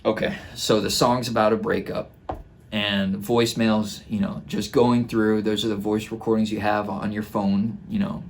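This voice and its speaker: A man in his twenties talking casually and reflectively, explaining his work in a halting way, recorded in a small home room. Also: on the low side, flat delivery, vocal fry. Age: 20s. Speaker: musician and host breaking down own song. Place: small home studio bedroom indoors.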